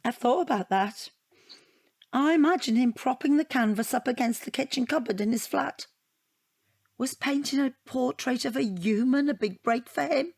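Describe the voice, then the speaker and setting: An actress playing a wounded wife in an audio drama, rambling in a wry, deadpan and digressive way. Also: female voice, high voice, normal speed, breathy edge. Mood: bitter, wondering. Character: wounded, rambling wife dissecting her unwanted portrait gift. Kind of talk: audio drama monologue and dialogue.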